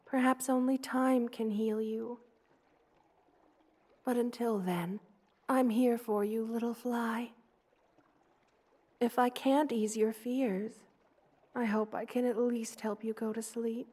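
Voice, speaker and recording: A loving spider queen played by a woman for an ASMR roleplay. Her voice sits fairly high and moves very slowly. She speaks with motherly tenderness and gently formal, soothing words, as a kindly monster would to someone in her care. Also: fairly even intonation. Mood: soft, comforting.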